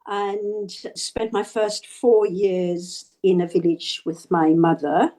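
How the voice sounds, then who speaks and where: A woman from Zimbabwe, an author and guest on a radio interview, speaking reflectively with soft laughs and small restarts. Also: on the low side, level tone, slow.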